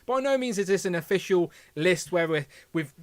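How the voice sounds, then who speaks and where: Host, male, lilt, slight lisp, high-pitched rambling chatter, dry close sound. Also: animated, normal speed, no room sound. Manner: chatty, rambling, self-deprecating. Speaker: live stream host. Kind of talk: casual live stream chat.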